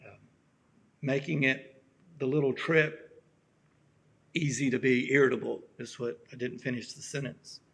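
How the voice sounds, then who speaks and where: A raspy-voiced man preaches at a very unhurried pace, with long pauses between his phrases. His delivery is gentle and halting, and his throat sounds worn by allergies. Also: medium pitch, fairly even intonation. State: allergies acting up. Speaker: pastor. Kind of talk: slow reflective sermon.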